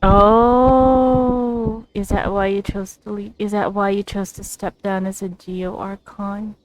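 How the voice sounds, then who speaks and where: Woman, game streamer, smooth clear voice, slow pace, casual commentary, musing aloud. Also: medium pitch, little pitch movement. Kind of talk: casual let's play commentary.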